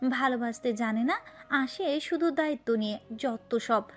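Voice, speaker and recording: A woman's voice: a little high, a little slow. A woman narrating a romantic story from a script.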